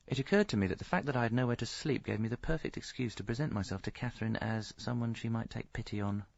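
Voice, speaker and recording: An actor playing a rueful, self-pitying middle-aged husband, narrating his misfortunes deadpan in a radio comedy. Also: medium pitch, quick pace, vocal fry, dry recording. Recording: slightly dull audio, faint music.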